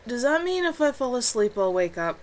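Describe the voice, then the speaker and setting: A woman's voice: fairly high pitch, big pitch swings, fairly quick, a little hiss or hum, pitched above the performer's own voice. A woman voicing an exhausted, poisoned young man who speaks wearily with a slight slur. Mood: weary. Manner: weary, slurring.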